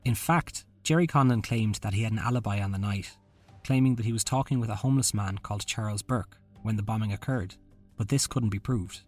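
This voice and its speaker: A man's voice: lowish voice, a little fast, darkish tone, soft background music. A man hosting a podcast, reading a scripted true-crime narration. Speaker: podcast host.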